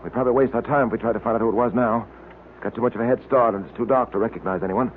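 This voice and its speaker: A man playing a level-headed, blunt detective in a vintage radio serial. He talks fast in a clipped, commanding way. The sound is tinny, with faint noise behind it. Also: medium pitch, steady tone, natural voice. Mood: pondering, businesslike. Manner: clipped, authoritative, no-nonsense. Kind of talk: old-time radio drama acting. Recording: tinny old radio recording, light noise.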